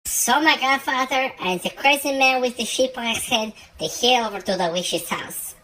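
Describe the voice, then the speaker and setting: A woman's voice: a little high, normal speed, bright, forceful tone, slight background noise, low-quality recording. A woman narrating an improvised comic fairy tale in a chatty, playful way. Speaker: storyteller.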